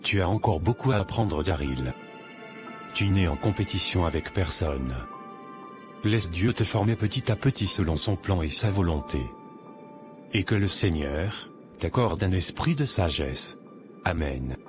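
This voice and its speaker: Man as a wise cartoon pastor, very deep voice, calm and teacherly, clear music behind. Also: measured pace. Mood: counseling. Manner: calm, instructive, sermon-like. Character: wise older male pastor, gentle counselor.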